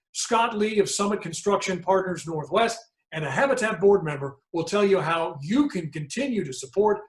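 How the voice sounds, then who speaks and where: A man hosting a virtual fundraiser, upbeat, delivering scripted transitions between segments. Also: high voice. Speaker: event host. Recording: roomy echo.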